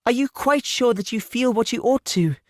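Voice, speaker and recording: A man voicing a sweet, earnest young gentlewoman in an audiobook, exclamatory, affectionate and anxious. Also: extremely high pitch, expressive, moderate pace, no room sound, clean studio sound, voice pushed up. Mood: delighted, anxious. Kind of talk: audiobook character voice.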